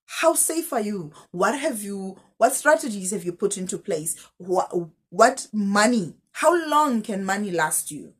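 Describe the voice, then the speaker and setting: A woman's voice: mid-range voice, big pitch swings, unhurried, hardly any pauses, breathy edge. A woman, a teacher giving direct money advice in a casual vlog, asking her viewers question after question. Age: 30s. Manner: direct, advising, piles up rhetorical questions. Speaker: teacher and finance vlogger.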